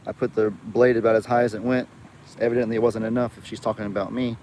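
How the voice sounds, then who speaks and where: A man's voice: on the low side, little pitch movement, fast, darkish tone, a little hiss or hum, low-quality recording. Young man with a light Southern drawl. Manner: rambling, chatty, reads viewer comments aloud. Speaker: livestream host. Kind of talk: casual live chat.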